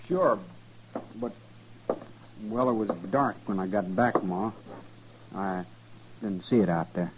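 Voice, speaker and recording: A man's voice: on the low side, takes it slowly, long pauses. A man acting a resentful, surly young farm son in a 1950s radio western, with a defiant rustic manner. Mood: evasive. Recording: dull, noisy vintage radio recording.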